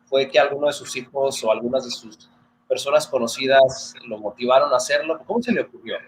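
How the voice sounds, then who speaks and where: A man's voice: mid-range voice, a little fast, a little hiss or hum, rough recording. A man with a northern Mexican border accent interviewing a doctor politely and formally over a video call. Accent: northern Mexican border Spanish. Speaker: interviewer and news presenter.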